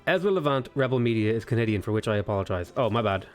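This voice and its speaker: A man's voice: on the low side, animated, rapid-fire, soft background music. A man in his twenties on a game livestream, casually chatting and joking while he reads viewer comments aloud. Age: 20s. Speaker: streamer.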